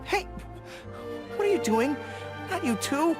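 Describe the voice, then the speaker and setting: Young dog-eared general, video game character, earnest, dutiful, military manner, very expressive intonation, background music. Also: male voice, very high-pitched, slow pace, exaggerated voice. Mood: flustered, indignant. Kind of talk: scripted game voice lines. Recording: music under the voice.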